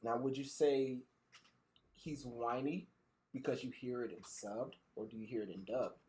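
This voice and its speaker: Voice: mid-range voice, fairly even intonation, a little slow, warm tone. A guest in a casual podcast chat, playful and challenging, stammering into their points.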